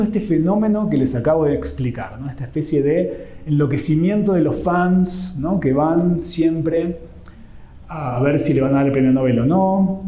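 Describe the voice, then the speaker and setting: A fairly high-pitched Argentine man speaks in a dark, mellow tone as he gives an informal literature lecture, often tagging his phrases with "¿no?". The room around him is echoey. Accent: Argentine Spanish. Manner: conversational, keeps adding "¿no?" at the end of phrases. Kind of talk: informal academic talk.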